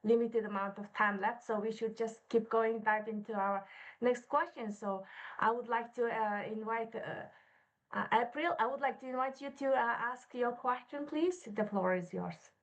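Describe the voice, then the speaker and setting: A woman's voice: fairly high pitch, moderate pace, dull audio. A woman hosting a live online interview, welcoming and thanking her guests often. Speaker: interview host.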